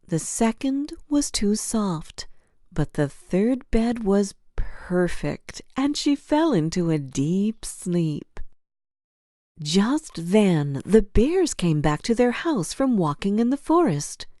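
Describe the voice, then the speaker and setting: A woman narrates fairy tales for children at an unhurried pace. Her scripted storytelling is expressive, with a soft mellow tone and lively rises and falls. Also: mid-range voice, no echo, clean studio sound.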